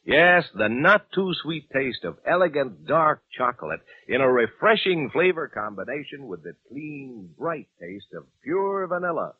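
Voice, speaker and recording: A man's voice: medium pitch, big pitch swings, unhurried, hardly any pauses, slightly rough, no room sound. A man announcing in a polished, emphatic 1940s radio sponsor style. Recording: tinny old radio recording.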